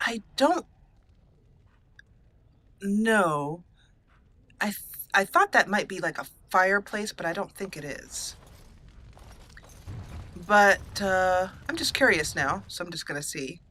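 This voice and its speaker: A woman with a very bright, forward voice narrates a roleplay game playthrough. She muses haltingly, making up backstory as she goes, at a medium pitch. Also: lively intonation. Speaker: gaming channel host. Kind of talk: roleplay let's play narration, storytelling.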